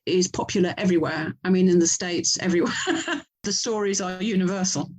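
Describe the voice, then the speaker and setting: A woman's voice: fairly low pitch, fairly quick, over a noisy crowd. Enthusiastic Englishwoman in conversation. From England. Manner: enthusiastic, effusive. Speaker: author and audio drama producer, interview guest. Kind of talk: conversational interview.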